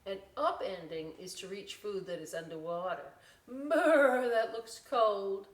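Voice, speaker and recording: Slow-paced woman reading to children, roomy echo. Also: medium pitch. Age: middle-aged. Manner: folksy, chatty, explains words simply for young children. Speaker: library storytime host reading aloud. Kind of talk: children's picture-book read-aloud with casual asides. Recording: roomy echo, distant microphone.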